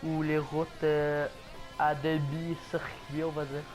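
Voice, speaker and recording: A man's voice: a little high, little pitch movement, slow, faint music behind. A man with a Québécois accent, sick with a cold and coughing, giving hesitant, casual commentary over a video game. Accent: Québécois French. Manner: hesitant, many fillers and restarts. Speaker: gaming YouTuber.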